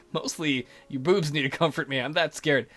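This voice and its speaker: A man's voice: on the high side, unhurried, fairly bright tone, faint music behind. A young man commentating on a visual novel playthrough, with crude, mocking, exclamatory asides. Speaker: gaming video host. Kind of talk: let's play commentary, reading a visual novel aloud.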